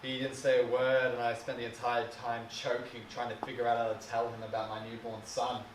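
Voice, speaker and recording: Male actor as bitter, wounded adult son: brooding dramatic monologue, halting and emotional, echoey, away from the mic. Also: mid-range voice, monotone, lightly acted. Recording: distant mic, echoey room, faint hiss.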